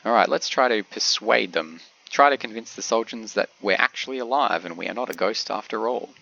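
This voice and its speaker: A man with a cold, sounding a little congested, giving relaxed game commentary with frequent pauses. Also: lowish voice, creaky, dull audio. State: has a cold, slightly congested. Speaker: gameplay commentator.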